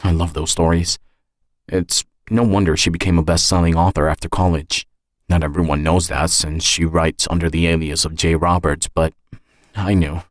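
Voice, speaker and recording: A man with a low voice narrates a short story in the first person. He is measured and reflective, and the sound is very dry. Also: little pitch movement, no echo. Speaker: narrator and author.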